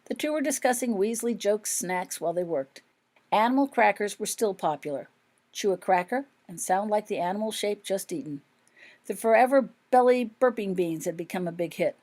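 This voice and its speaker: A woman's voice: mid-range voice, moderate pace, a little mellow. A woman reading her own fiction aloud as scripted audiobook narration.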